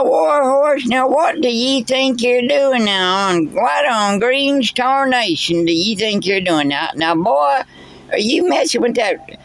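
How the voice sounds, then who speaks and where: A man doing a slow, rambling old backwoods yarn-spinner. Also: high voice, creaky, heavily acted. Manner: rambling, folksy, stumbling over repeated words. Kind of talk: character storytelling.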